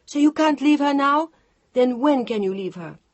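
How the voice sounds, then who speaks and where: A reader voicing a jealous young Frenchwoman, shrill and shouting in an audiobook. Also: lively intonation, normal speed, no room sound, muffled recording. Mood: furious. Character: furious jealous French mistress, young woman. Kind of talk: audiobook character voice.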